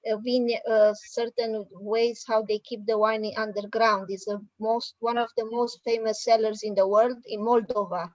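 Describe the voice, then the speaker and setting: A woman's voice: a little high, level tone, moderate pace, hardly any pauses, no echo. A young woman from Romania with a Romanian accent, chatting casually on a group video call. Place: indoor room at home, on a video call. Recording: muffled, dull video-call audio.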